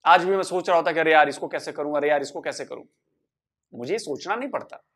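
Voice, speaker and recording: A man with a slightly raised pitch talks to the camera in a measured, persuasive way. He builds his points by repeating key phrases, steady and earnest.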